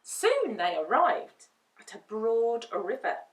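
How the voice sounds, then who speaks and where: Slow, very animated woman storyteller. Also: on the high side. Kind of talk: expressive children's storybook narration. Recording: roomy, distant microphone.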